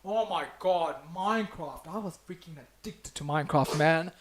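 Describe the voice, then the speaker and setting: A young Australian man with a high-pitched voice chats as a podcast host. He is excitable and loose, throwing in "bro" and swearing, and laughs often. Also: moderate pace, crowd noise. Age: young adult. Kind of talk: casual chat.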